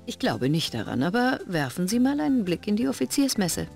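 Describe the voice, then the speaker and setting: A polite, composed woman at a ship's front desk in a video game. She speaks formally at a medium pitch, with soft music behind her. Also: big pitch swings, brisk, creaky. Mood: composed, informative. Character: polite matter-of-fact woman receptionist aboard a ship. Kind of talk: video game character dialogue.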